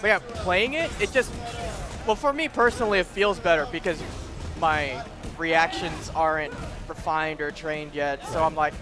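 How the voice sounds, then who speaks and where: A man's voice: fairly high pitch, normal speed. A man co-hosting a livestream, chatting in an informal, conversational way. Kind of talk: casual chat on a livestream. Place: gaming event venue. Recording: music behind the voice. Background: music.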